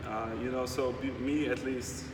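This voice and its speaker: A man's voice: medium pitch, steady tone. A young man from Europe interviewing a guest, asking questions with many restarts. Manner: halting, restarts a lot, friendly. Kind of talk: casual interview. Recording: echoey room, mic far off, noisy.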